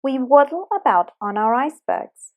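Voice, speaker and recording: Animated woman reading a rhyming picture book. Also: high voice, moderate pace, narrow, tinny audio. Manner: animated, sing-song rhyming delivery. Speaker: storybook reader.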